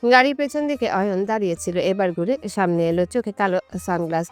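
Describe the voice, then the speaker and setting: A woman's voice: mid-range voice, fast talker, smooth. Woman narrating a romantic story over music.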